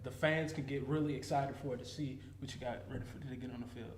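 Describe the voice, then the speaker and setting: A man's voice: medium pitch, fairly even intonation, fast talker, roomy sound, a little hiss or hum. A man hosting a college sports show, asking questions in a casual, loose way. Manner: casual, loose phrasing with fillers.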